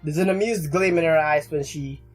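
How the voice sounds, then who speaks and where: A woman's voice: low voice, moderate pace, soft background music. A woman hosting a let's play, reading game text aloud and interjecting casually, often repeating words as she goes.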